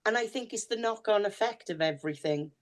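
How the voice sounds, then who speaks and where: A woman's voice: mid-range voice, animated, fairly quick. A woman with a Welsh accent co-hosting a podcast in a down-to-earth, chatty way, her voice a little worn. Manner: chatty, down-to-earth, speaks straight to listeners. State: voice going hoarse. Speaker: podcast co-host and reader. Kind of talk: casual chat.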